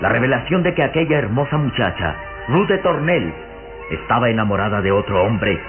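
A man as a solemn, deliberate narrator of an old radio drama. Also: medium pitch, very animated, close to an everyday voice. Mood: solemn, suspenseful. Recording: muffled old radio recording. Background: dramatic music.

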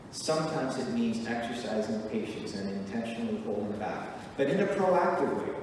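A middle-aged man preaching a sermon from a church pulpit, earnest and emphatic with measured pauses. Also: mid-range voice, noisy background. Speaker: pastor. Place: church sanctuary, at a wooden pulpit. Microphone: pulpit mic, recorded from a far camera. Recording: echoey church hall from far off.

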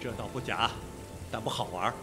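A man's voice: lowish voice, normal speed, over music, close to an everyday voice. A man playing a blunt, suspicious police detective in a Mandarin radio mystery, with a sarcastic manner. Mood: accusing.

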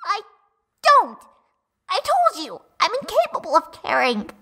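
Woman acting a volatile, high-voiced angry flower. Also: huge pitch swings, unhurried, pushed and bright, heavily acted. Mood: defiant. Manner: snapping, emphatic. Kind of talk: animated comic dub acting.

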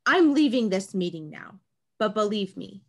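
A woman's voice: mid-range voice, lively intonation, moderate pace, darkish tone. A woman in her thirties playing a proud, scheming mother, emphatic and indignant, in a play read over video call. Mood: indignant, defiant. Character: proud, scheming mother refusing her daughter's poor match. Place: small home room, video call.

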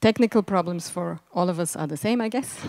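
A woman gives an improvised spoken summary at a conference, speaking in a halting, deliberate way. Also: lowish voice, a little mellow, no echo, studio quality. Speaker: session summarizer. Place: conference session, indoors.

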